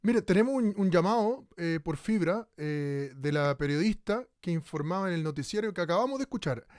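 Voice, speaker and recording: A man's voice: high voice, lively intonation, fairly quick, a little mellow, no room sound, clean studio sound. A man playing an earnest, courteous radio host in a dystopian radio play, hesitant and deferential. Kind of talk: radio play as live news talk show.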